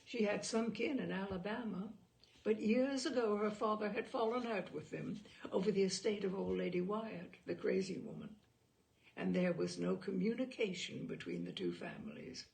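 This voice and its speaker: Gravelly woman reading literary fiction. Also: medium pitch, animated, poor audio. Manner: measured, dramatic phrasing with hushed asides. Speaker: narrator reading a short story aloud. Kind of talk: expressive literary audiobook narration.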